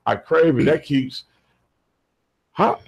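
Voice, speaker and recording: A man on a talk radio panel, speaking animated and emphatic, often laughing as he talks. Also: fairly high pitch, slow pace, gravelly, slightly rough. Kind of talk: casual studio talk.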